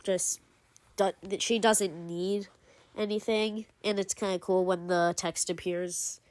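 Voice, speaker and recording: A woman's voice: medium pitch, steady tone, takes it slowly, darkish tone, dry recording. Rambling woman doing casual voice-over. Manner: rambling, filler-heavy, frequent "like" and self-corrections. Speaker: video creator ranking game cutscenes.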